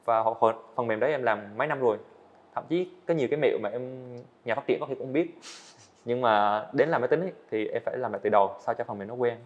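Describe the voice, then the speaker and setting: Young man, quick earnest speech, slightly dull audio, indoor studio set. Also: medium pitch. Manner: earnest, explains step by step. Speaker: guest animator. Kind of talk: casual interview answers.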